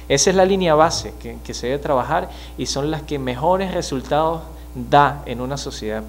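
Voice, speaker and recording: A man in his thirties from Venezuela, with a Venezuelan accent, speaking as a criminologist guest in a studio interview, measured and explanatory with frequent hesitations. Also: mid-range voice, slight background noise. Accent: Venezuelan Spanish. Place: small TV studio. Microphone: mic on desk stand.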